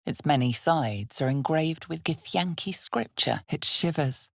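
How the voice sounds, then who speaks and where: Casting: a knowing, ominous female narrator for a dark fantasy video game. Deep for a woman, gravelly, measured storyteller delivery. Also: lively intonation, dry recording, clean studio sound, full character voice.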